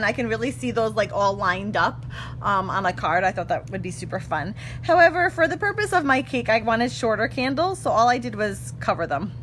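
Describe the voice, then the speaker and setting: A slightly hoarse woman in her thirties, her voice a touch high, chats her way through a card-making demo, correcting herself as she goes. A steady hum runs under her voice from a home craft room. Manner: chatty, self-correcting, laughs often. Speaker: craft tutorial host. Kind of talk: casual live stamping demo. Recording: clear background hum.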